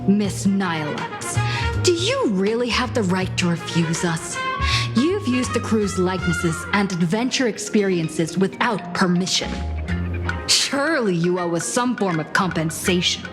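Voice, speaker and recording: An actress playing a shrewd, composed woman negotiator in a video game, speaking in a formal, pointed, deliberate way. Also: medium pitch, lively intonation, lightly acted. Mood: pointed, demanding. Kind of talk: dramatic game voice acting. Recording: studio voice under loud music.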